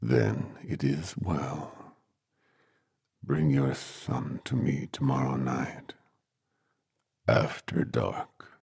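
A man voicing a sinister hooded sorcerer in a storybook, with a gravelly, breathy near-whisper and a cold, drawn-out menace. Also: extremely low pitch, steady tone, darkish tone, dry recording, clean studio sound, voice pushed down, heavily acted. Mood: menacing.

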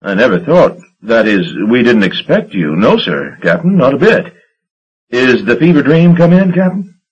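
A man's voice: fairly low pitch, very animated, unhurried, husky, pitched above the performer's own voice, exaggerated voice. A man voicing a flustered, gaunt young shipping agent who stammers and babbles. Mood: nervous babbling. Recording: dull lo-fi recording.

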